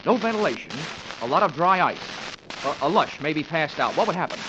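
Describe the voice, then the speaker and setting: An actor playing a tough, wisecracking American investigator in a 1940s radio drama, brash and sardonic. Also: male voice, fairly high pitch, animated, a little fast, lo-fi sound, natural voice. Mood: urgent, sardonic. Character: tough, wisecracking, hard-boiled male investigator. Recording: noisy vintage radio recording.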